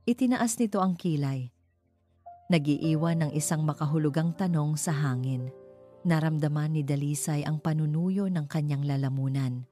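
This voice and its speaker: A Filipino woman narrates a story in a low voice. Her tone is dark and mellow, with measured, dramatic phrasing. Music plays behind her.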